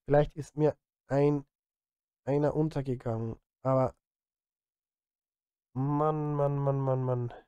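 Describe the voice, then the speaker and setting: A man's voice: medium pitch, fairly even intonation, slow pace, pauses often, dark tone, clear, resonant tone, no echo, dull audio. A middle-aged man chatting casually on a live stream as a music streamer, thinking aloud and grumbling at himself, close on a studio mic. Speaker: streamer and film music composer. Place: home studio, indoors. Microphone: close studio microphone.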